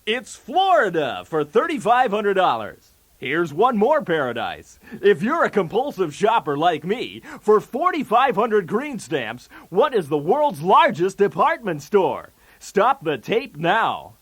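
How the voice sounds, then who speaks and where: A man's voice: high voice, wildly swooping pitch, a little slow, slightly rough, heavily acted. A man playing a brash, wisecracking 1980s TV game show host with showy, punchy patter. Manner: showy, jokey, with punchy delivery of gags.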